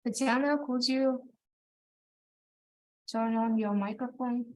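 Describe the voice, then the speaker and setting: A woman's voice: fairly high pitch, fairly even intonation, slow pace, poor audio. A woman from Kazakhstan hosts an online teaching webinar, speaking hesitantly with many fillers and restarts. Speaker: event moderator.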